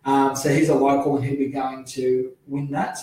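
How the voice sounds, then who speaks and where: A man hosting a speedway preview show, rambling with many fillers and self-corrections while his voice is going hoarse. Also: mid-range voice, flat delivery, normal speed, darkish tone, faint music behind. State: losing his voice. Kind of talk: casual solo speedway preview. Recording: very echoey, from far off the mic.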